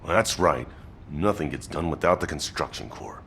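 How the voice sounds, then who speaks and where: An actor playing a gruff, hot-headed but protective male fighter in a video game, blunt and scolding. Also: a bass voice, normal speed, fairly bright tone, a little hiss or hum. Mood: resigned. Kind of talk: dramatic video game voice acting.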